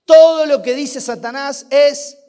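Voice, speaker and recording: A man with an Argentine accent preaching a sermon at a youth camp, asking rhetorical questions and swinging between loud and hushed. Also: very high voice, steady tone, measured pace. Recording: long hall reverb.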